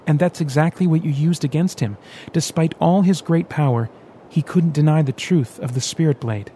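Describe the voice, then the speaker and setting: An eager young male gadget expert in an audiobook, lifted a few steps above the reader's own voice, as a man puts him on. He explains things with bright, bubbling enthusiasm. A faint rumble hums underneath. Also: moderate pace, lightly acted. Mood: earnest. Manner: excited, eager. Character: eager young male tech whiz, excitable.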